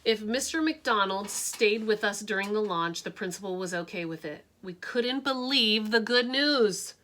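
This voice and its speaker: A woman teacher reading a picture book aloud, expressive and dramatic, shifting her tone for suspense. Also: mid-range voice, unhurried, a little hiss or hum.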